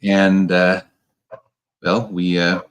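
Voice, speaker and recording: A man's voice: low voice, completely flat delivery, drawn-out words, very noisy. A man in his forties, an earnest interview guest who repeats words while thinking. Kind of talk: casual online interview.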